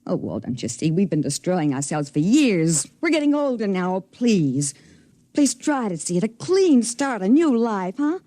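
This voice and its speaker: A woman's voice: medium pitch, very animated, moderate pace, mellow. An American actress playing a weary, restless middle-aged woman in a 1950s radio drama. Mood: earnest pleading. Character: weary, restless woman longing for a clean start.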